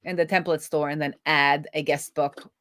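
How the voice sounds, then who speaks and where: Woman, low voice, dry close sound, casual coding host, think-aloud delivery, rephrases as she goes. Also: fairly even intonation, normal speed, no room sound. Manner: thinks out loud, trailing and rephrasing. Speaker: host, web developer live coding.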